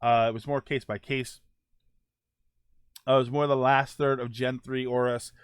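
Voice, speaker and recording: Male streamer, halting talk, dry close sound. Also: mid-range voice, flat delivery, clean tone, dry recording. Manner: halting, circles back and rephrases points. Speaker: host of a gaming livestream. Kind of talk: casual opinion talk answering viewer comments.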